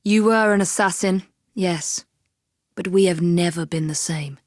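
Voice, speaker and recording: Game casting: actress as female Victorian assassin, young, English, poised and crisp, resolute temper, close dry recording. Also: fairly low pitch, measured pace, no room sound, natural voice. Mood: composed, determined. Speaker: video game voice actor. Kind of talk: scripted game character dialogue.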